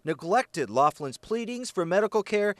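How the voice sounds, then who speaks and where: An American man reporting investigative news in a scripted, emphatic and measured broadcast delivery. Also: sits high, big pitch swings, no echo.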